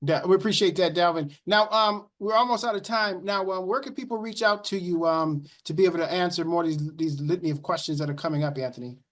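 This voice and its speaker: A man's voice: sits high, fast talker, hardly any pauses, fairly bright tone. A man hosting a live interview, upbeat, reading out viewer questions to his guest. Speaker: show host.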